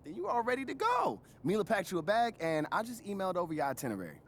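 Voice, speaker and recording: An actor playing an energetic, hype young male agent and best friend in a video game cutscene. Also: high-pitched, quick pace, slight background noise, full character voice. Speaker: player's friend and manager.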